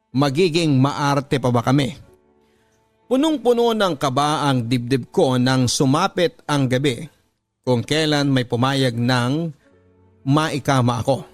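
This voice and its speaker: Low-voiced Filipino man narrating dramatic stories. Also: measured pace. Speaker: radio drama narrator reading a letter sender's story. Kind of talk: dramatic scripted storytelling narration. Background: background music.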